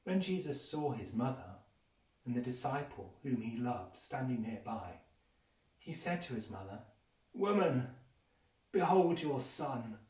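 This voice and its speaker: A man's voice: medium pitch, very animated, measured pace, a little mellow. A man giving a dramatic scripture reading, emphatic and raising his voice on quoted speech. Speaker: scripture reader. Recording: echoey room, distant microphone.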